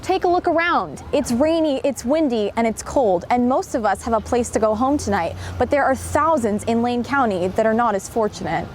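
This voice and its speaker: A woman working as a television news reporter, delivering scripted broadcast reports in a measured, emphatic way. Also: fairly high pitch, lively intonation, slight background noise.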